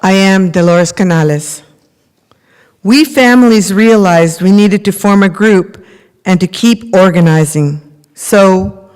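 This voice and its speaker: Woman acting a slow, clear-voiced earnest mother. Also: medium pitch, natural voice. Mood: earnest, resolute. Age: middle-aged. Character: earnest mother of a prisoner, campaigning. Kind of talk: readers theater monologue, read from script. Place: large temple hall, at a lectern. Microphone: microphone on a stand at lectern. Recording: reverberant hall recording.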